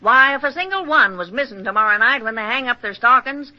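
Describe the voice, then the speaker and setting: A woman's voice: on the high side, expressive, brisk, lightly acted. A woman as a feisty frontier mother, muffled radio. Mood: indignant. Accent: rural American. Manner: homespun, emphatic. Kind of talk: old-time radio western acting. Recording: dull lo-fi old radio recording.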